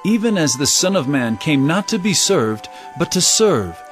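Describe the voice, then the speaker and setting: A man playing an authoritative teacher in a Bible audio drama, speaking in a measured, solemn, instructive way. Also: fairly high pitch, sing-song, faint music behind. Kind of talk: audio drama scripture storytelling.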